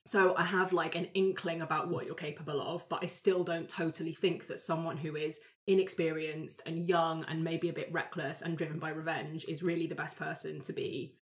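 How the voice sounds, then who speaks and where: Low-pitched woman thinking aloud. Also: fairly even intonation, normal speed, hardly any pauses. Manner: thoughtful, working ideas out aloud. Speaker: player in a tabletop roleplaying game. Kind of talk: casual collaborative character discussion.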